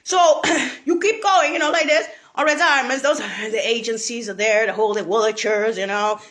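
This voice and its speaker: A woman from Italy, rambling in a profane monologue, laughing and bursting into shouts. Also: on the high side, normal speed.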